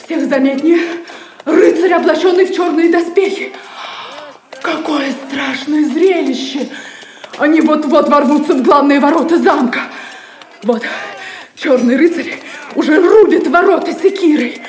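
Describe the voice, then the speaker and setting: A woman's voice: sits high, measured pace, a little hiss or hum. An actress playing a brave, devoted young captive woman in an audio drama, speaking earnestly and dramatically. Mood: alarmed. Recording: echoey, with music behind.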